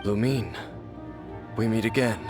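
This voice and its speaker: Slow, grave brooding swordsman man acting. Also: on the low side, close to an everyday voice. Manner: grave, measured, dramatic. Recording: loud game music and crashing effects behind.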